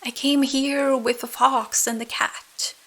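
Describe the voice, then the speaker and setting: A woman voicing a naive, excitable little puppet boy in a bedtime story, earnest and stammering. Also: high voice, takes it slowly, pitched above the performer's own voice, heavily acted. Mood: sheepish.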